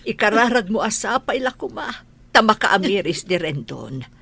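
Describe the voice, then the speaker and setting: A woman acting a calm, pious older aunt who gives soothing counsel in an Ilocano audio drama. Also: medium pitch, moderate pace, gravelly, breathy edge, full character voice. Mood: concerned, soothing.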